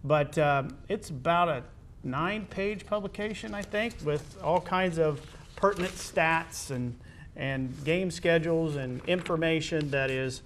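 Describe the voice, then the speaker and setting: A man hosting a casual, unscripted interview, speaking haltingly as he searches for words. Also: a little high, fairly bright tone. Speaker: interviewer, host. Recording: clear background noise.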